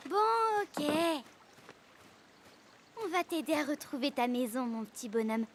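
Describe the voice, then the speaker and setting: A woman's voice: high-pitched, unhurried, a little mellow, a little hiss or hum. A woman voicing a bold, cheeky young child who craves adventure, with a brash, theatrical cartoon delivery. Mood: eager. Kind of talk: cartoon voice acting. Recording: music behind.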